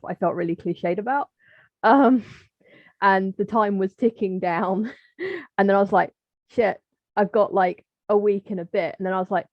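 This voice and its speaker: A woman's voice: medium pitch, no echo. A woman guest telling a casual, self-deprecating story in an informal way. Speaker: writing course graduate, guest. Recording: dull, dry lo-fi call audio.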